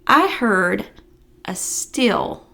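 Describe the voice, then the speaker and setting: A woman's voice: medium pitch, big pitch swings, drawn-out words, vocal fry, sharp tone. A middle-aged woman hosting a personal vlog, speaking earnestly and encouragingly to viewers in a reflective way, close to a desk microphone at home. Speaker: vlog host. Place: living room at home. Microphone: large desk microphone, close.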